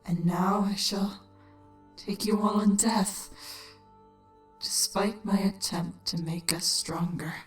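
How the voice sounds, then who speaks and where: A woman playing a proud, bitter, dying insect-like queen in an audio drama, speaking slowly and commandingly. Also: medium pitch, steady tone, lightly acted. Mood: bitter, despairing. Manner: slow, dramatic, regal delivery. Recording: echoing voice over music.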